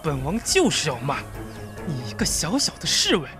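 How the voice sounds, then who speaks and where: A man's voice: fairly high pitch, wildly swooping pitch, normal speed, music in the background. A man playing a haughty, spiteful young prince in a Chinese period audio drama, taunting and arrogant. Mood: sneering.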